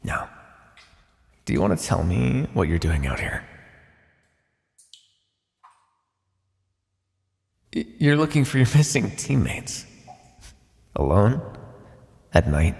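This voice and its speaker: A man acts out a sardonic vampire captor in an audio roleplay. His voice has a creaky, gravelly edge and moves animatedly up and down. It rings in a long reverberant space with faint noise behind it. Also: medium pitch, full character voice. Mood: teasing, predatory. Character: teasing, menacing male vampire captor, centuries old scientist. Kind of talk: ASMR roleplay acting.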